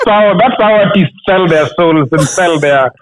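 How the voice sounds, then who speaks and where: A man's voice: a little high, moderate pace, noisy background. A man from Kenya, a writer who is a guest on the show, talking in a casual chat with a blunt, crude and opinionated manner. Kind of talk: casual chat between friends.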